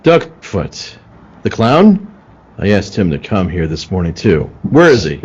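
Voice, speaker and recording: A man's voice: sits low, sing-song, measured pace, breathy edge, exaggerated voice. A man playing a firm, probing police detective in an old-time radio play, clipped and businesslike. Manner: clipped, questioning. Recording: muffled video-call audio.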